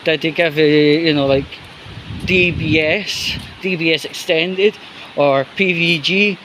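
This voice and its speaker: A Scottish man with a slightly high voice talks slowly in an off-the-cuff vlog. His delivery is hesitant and rambling, searching for words as he goes. A faint noise of vehicles sits behind him. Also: fairly even intonation, bright, forceful tone. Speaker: vlogger. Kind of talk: unscripted solo vlog commentary.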